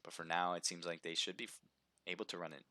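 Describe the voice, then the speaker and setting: Brief: man, deep bright voice, slightly hoarse, upbeat livestream game caster calling the action and greeting viewers. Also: flat delivery, fast talker. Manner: chatty, upbeat, reads viewer names aloud. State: slightly hoarse, throat sore. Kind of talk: live esports-style play-by-play commentary.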